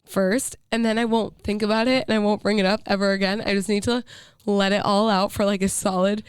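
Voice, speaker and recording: A young woman co-hosting a casual podcast, hoarse from a cold, candid and jokey. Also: mid-range voice, level tone, brisk, dry recording, clean studio sound. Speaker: podcast co-host. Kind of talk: casual chat.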